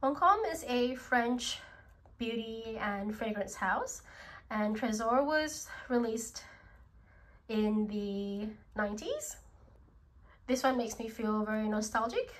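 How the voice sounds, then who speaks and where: An Australian woman talks through her perfumes in a slow, gentle way. Her voice sits a little high and is thick and congested from a cold. Her delivery is unhurried and casual. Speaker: perfume vlogger. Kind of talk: casual product review.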